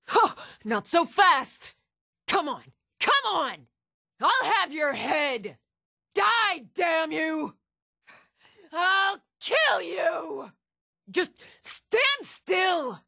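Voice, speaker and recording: A woman's voice: sits high, vocal fry, full character voice. A woman playing a fierce, taunting female warrior in a fantasy video game, throwing out battle cries and threats. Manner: shouted taunts, grunts and threats. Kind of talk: fantasy game combat barks.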